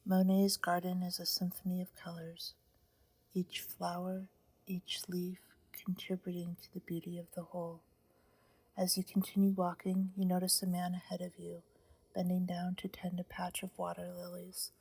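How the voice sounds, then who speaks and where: A woman leads a sleep meditation in a hushed, soothing whisper, right up against the mic. Her voice sits low and barely moves in pitch, breathy throughout. The recording is dry with no room sound. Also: completely flat delivery, normal speed, no room sound, poor audio. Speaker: meditation guide.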